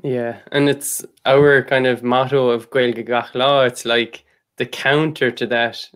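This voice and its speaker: An Irish man hosting a podcast interview, talking in a relaxed, rambling way with lots of "like" fillers. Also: medium pitch, slow, clean tone. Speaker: podcast host. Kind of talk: casual conversation.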